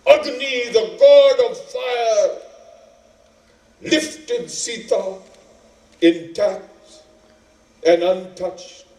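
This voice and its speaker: A man narrating an epic as a stage actor, dramatic and deliberate, with weighty pauses. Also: high voice, expressive, darkish tone. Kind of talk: theatrical epic storytelling. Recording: roomy, echoing, distant microphone.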